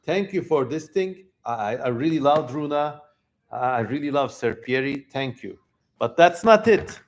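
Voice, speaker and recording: A man's voice: mid-range voice, lively intonation, a little slow. A man hosting a livestream in casual chat, effusive and repeating words for emphasis as he talks to viewers. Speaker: livestream host.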